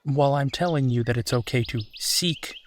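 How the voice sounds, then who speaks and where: A man's voice: medium pitch, slight background noise, lightly acted. Reassuring, affectionate husband, played by a man. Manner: reassuring, conversational, unhurried pauses. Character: supportive, affectionate husband comforting his partner. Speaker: audio roleplay performer. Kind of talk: intimate comfort roleplay, one side of a conversation.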